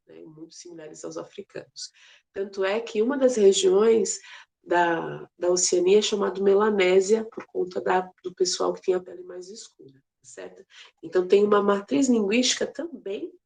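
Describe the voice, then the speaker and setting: A woman's voice: fairly low pitch, creaky, a little mellow. A woman teaching a casual geography class, chatty and playful, with many fillers and restarts. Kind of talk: casual online class lecture.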